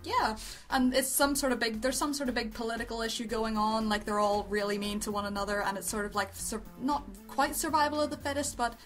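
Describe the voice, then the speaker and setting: A woman's voice: fairly high pitch, steady tone, a little fast, a bit bright. A woman co-hosting a review show, chatting casually in a rambling, informal, effusive way. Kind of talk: casual chat, review commentary. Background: background music.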